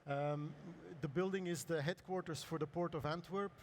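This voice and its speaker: Man, hoarse voice, conference talk, hesitant, lots of ums, slightly high pitch. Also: steady tone, normal speed, darkish tone, slight background noise. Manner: hesitant, many ums and uhs, clears throat. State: hoarse, lost voice. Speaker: architect presenting. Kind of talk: conference presentation. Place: conference hall.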